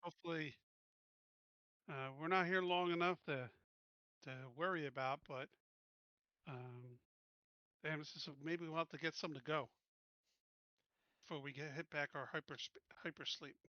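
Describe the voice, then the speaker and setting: A man's voice: a little high, moderate pace, pauses often, sharp tone, dry recording, muffled recording. A man playing a tabletop roleplaying game, chatting casually and thinking plans through aloud.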